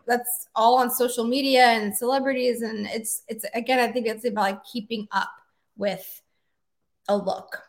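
A woman in her forties who is a guest attorney on a podcast, chatting in a relaxed way and often trailing off with fillers. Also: fairly high pitch, moderate pace. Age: 40s. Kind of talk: casual interview chat.

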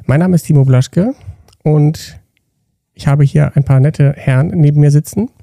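A man hosts a podcast in a loose, chatty way, sometimes restarting his sentences. His mid-pitched voice is gravelly and very warm, recorded dry and close. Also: moderate pace, no room sound. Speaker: podcast host. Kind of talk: casual podcast hosting.

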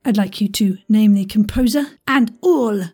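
Husky, mellow woman hosting a quiz. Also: mid-range voice, moderate pace, faint music behind. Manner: playful, rambling, talks to herself. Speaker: quiz host. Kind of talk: casual, chatty quiz hosting.

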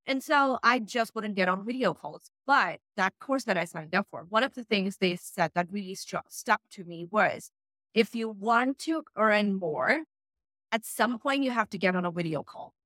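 A woman from Pakistan whose first language is not English talks in a frank, emphatic way as an interview guest. Her pitch sits in the middle of the female range. The sound is dry and close, with little room echo. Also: normal speed. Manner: frank, energetic, emphatic advice. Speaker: guest, freelance writer. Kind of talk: casual interview talk.

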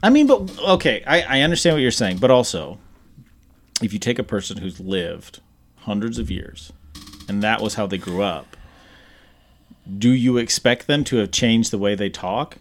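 A man's voice: on the low side, expressive, moderate pace, a little hiss or hum. A man around thirty co-hosting a podcast, chatting casually with a friend in a rambling, sweary way. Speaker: podcast co-host. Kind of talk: casual chat between friends.

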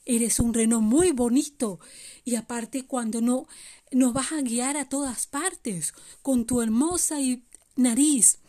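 A woman acts out a kindly old male Santa in a children's tale. The voice is high for the part. The manner is jolly, hearty and reassuring. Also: slow pace, dark tone. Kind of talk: children's storytelling character voice.

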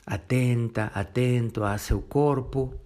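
A man narrates a guided meditation at a slow pace, with a mid-pitched voice. He gives unhurried instructions in short phrases, leaving long pauses between them. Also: fairly even intonation, darkish tone.

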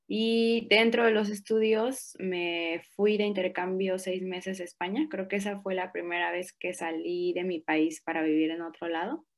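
A young Mexican woman with a Mexican accent, a guest answering interview questions in a relaxed, reflective way, often filling with "o sea" and "como". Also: medium pitch.

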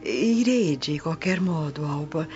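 Woman acting a loyal devout roman lady, calm lowish voice, radio soap, slightly dull audio. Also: a little slow. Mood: resolute, supportive. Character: loyal, devout Roman matron, resolute friend. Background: music bed.

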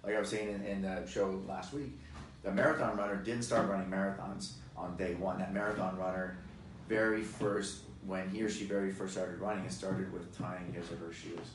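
A man in his forties talking fitness in a casual podcast chat, energetic and emphatic, with many fillers. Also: sits low, normal speed. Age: 40s. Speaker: fitness guest expert. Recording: echoey room, distant mic, faint noise.

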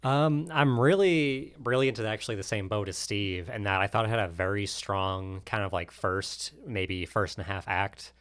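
Male co-host, deep voice, casual conversational delivery. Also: moderate pace, hardly any pauses, a bit bright, smooth. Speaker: podcast co-host. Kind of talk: casual chat.

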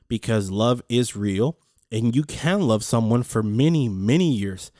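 A man hosting his own podcast with a deep voice, talking earnestly in a rambling, reflective way, often repeating words and restarting his sentences. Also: mellow, no echo, studio quality. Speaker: podcast host. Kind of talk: casual reflective monologue.

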